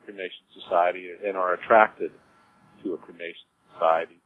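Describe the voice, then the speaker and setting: A man answering interview questions informally as a business executive, in a halting way, repeating words as he explains. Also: deep voice, fairly even intonation. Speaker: cemetery executive being interviewed. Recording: dull lo-fi audio with clear noise.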